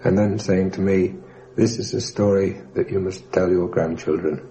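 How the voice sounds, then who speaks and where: A man giving a measured, orderly first-person account of his time as an airman and prisoner of war for a documentary. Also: sits low, steady tone, mellow, rough recording. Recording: faint hum, 1960s radio documentary recording.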